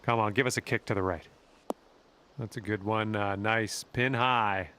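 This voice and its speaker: A man's voice: lowish voice, fairly even intonation, moderate pace, pushed and bright. Man calling golf, noisy background. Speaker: golf commentator. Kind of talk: video game sports commentary. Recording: clear background noise.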